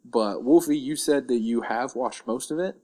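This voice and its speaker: A man's voice: medium pitch, normal speed, a little mellow. A man co-hosting a casual pop-culture podcast, chatting in an easygoing, inquisitive way. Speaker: podcast co-host.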